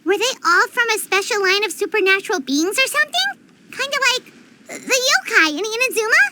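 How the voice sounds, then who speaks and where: A woman voicing a tiny floating female fairy companion, excitable and curious, who speaks of herself in the third person, in game dialogue. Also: very high-pitched, brisk, very noisy. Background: game music.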